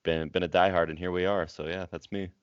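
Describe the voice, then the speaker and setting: A man co-hosting a podcast in a relaxed, casual chat that trails off into fillers. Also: low-pitched, a little fast, smooth, dry recording. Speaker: podcast co-host. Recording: dull, slightly lo-fi dry podcast audio.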